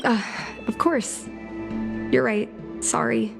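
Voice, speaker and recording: A woman's voice: fairly high pitch, steady tone, slow, vocal fry, music in the background, heavily acted. A woman playing an earnest, candid young girl in a video game, sometimes hesitant in her speech. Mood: hesitant, hopeful. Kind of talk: scripted video game dialogue.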